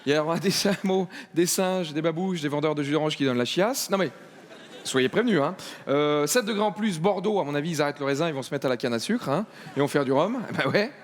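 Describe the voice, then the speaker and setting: A man's voice: a little high, animated. A man hosting a comedy gala, rambling through jokes with many fillers before introducing the next act. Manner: rambling, many fillers and asides, quick riffs. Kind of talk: stand-up host patter. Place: large festival gala hall on stage.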